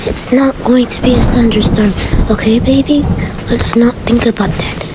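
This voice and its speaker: A woman's voice: on the high side, fairly even intonation, moderate pace, heavy background noise, close to an everyday voice. A woman playing a harried young mother, bossy but soothing, in an amateur family roleplay. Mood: reassuring.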